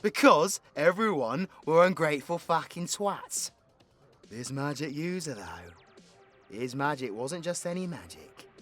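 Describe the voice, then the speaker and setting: A man's voice: fairly high pitch, very animated, measured pace, a bit bright, soft background music, heavily acted. A man with a British accent playing a cocky, foul-mouthed, sarcastic supervillain who tells stories in an audio roleplay. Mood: bitter, dramatic. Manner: cheeky, sweary, colloquial, theatrical swings into exclamation. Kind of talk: villain audio roleplay, rambling comedic storytelling.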